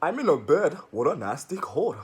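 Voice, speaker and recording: A woman's voice: low-pitched, sing-song, normal speed, darkish tone, husky, heavily acted. A woman voicing a wolf pretending to be a sick grandmother in a storybook reading, crooked and wheedling. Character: wolf faking a sick old grandma in bed.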